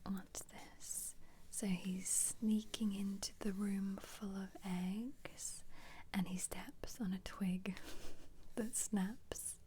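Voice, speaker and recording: A woman speaking as an ASMR host in a gentle whisper, musing casually and without hurry as she flips through a book. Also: medium pitch, fairly even intonation, drawn-out words. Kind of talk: soft-spoken ASMR book flip-through.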